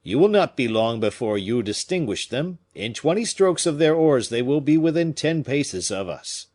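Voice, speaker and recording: A man's voice: mid-range voice, sing-song, moderate pace, darkish tone, pitched above the performer's own voice. A man reading an audiobook, voicing a timid, deferential river boat skipper.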